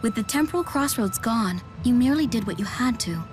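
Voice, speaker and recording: An actress voices a composed scholar woman in a video game. She speaks in a somewhat high voice, precise and explanatory. Quiet music plays underneath. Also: lively intonation, fairly quick, natural voice. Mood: analytical. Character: composed, scholarly, matter-of-fact woman advisor.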